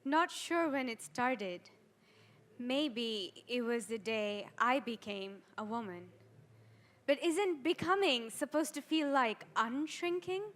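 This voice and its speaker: Woman to play adolescent girl, quietly anguished and ashamed, dramatic monologue, higher voice, unhurried heavy delivery. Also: expressive. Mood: haunted, sorrowful. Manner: slow, deliberate, with heavy pauses and swelling emphasis.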